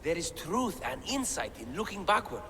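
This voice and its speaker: A man's voice: on the high side, very animated, moderate pace, bright, forceful tone, big-room sound, slight background noise, heavily acted. An actor playing an eager male scholar deciphering ancient writing, talking about his discoveries with enthusiasm. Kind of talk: game cutscene acting.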